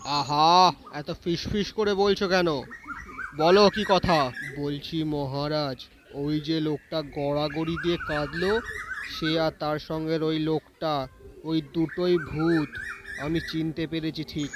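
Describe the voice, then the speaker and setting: A man's voice: on the high side, steady tone, slow. A man playing wary, scheming royal court figures in an audio storybook, speaking in a conspiratorial manner. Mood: puzzled, suspicious, then secretive. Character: scheming male palace folk, wary king and informer. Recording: clearly noisy recording with clicks.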